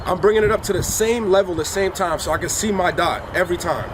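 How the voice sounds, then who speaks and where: A man's voice: high-pitched, fast talker. Congested man coaching outdoors over noise. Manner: laid-back and coaching, street slang. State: congested from illness. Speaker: firearms instructor. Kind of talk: casual instructional vlog. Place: outdoors on a hilly shooting range, rain starting. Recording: outdoor background noise with passing traffic.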